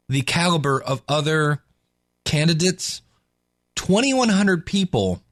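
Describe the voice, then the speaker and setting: A man hosting a podcast talks with his listeners in a chatty, enthusiastic way. His voice sits a bit high, and the recording is dry and close. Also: dry recording. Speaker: podcast host. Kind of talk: casual podcast commentary.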